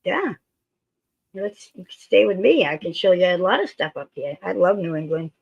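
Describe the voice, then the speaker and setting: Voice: medium pitch, sing-song, normal speed, vocal fry, husky. A co-host from Massachusetts chatting eagerly in a casual livestream. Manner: chatty, enthusiastic about the region. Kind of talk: casual chat. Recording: dull lo-fi sound.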